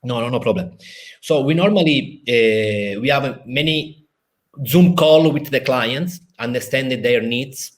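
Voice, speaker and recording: Italian-accented man, halting legal explanation. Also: medium pitch. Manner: halting, many fillers and restarts. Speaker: lawyer. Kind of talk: explaining a legal procedure in a live stream. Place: in a city in Italy, at home or office.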